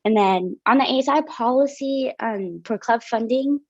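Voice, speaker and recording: A woman's voice: on the high side, moderate pace, no echo. A young woman from Syria, a student finance officer, explains funding policies on a video call in a casual way with many fillers. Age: young adult. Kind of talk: presenting over a video call. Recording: dull lo-fi video call audio.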